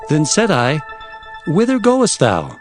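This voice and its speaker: A man's voice: on the high side, huge pitch swings, takes it slowly, soft background music, exaggerated voice. An actor playing an earnest prophet recounting his visions, in solemn and dramatic scripture narration. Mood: awed, narrating.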